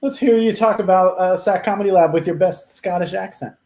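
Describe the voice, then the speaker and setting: A woman hosting a casual online chat show, relaxed and laughing as she reads out requests. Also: lowish voice, a little fast, a little hiss or hum. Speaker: host.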